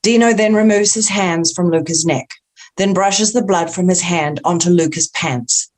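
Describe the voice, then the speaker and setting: Woman script narrator with lowish pitch. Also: normal speed, a little mellow. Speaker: stage-direction narrator.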